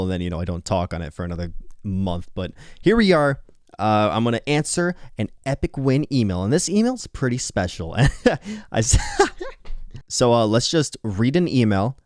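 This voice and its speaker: A young man speaks late at night, tired and candid, drifting between thoughts with casual swearing. His slightly nasal voice rises and falls a lot in pitch. The sound is dry and close, with no room around it. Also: darkish tone, no echo. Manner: rambling, candid, swearing, quick laughs. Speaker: YouTuber. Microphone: earphones on, close mic.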